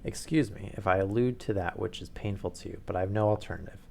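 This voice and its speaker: A man reading a calm, bland detective. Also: low-pitched, creaky, slight background noise. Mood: bland, probing. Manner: bland, measured, polite.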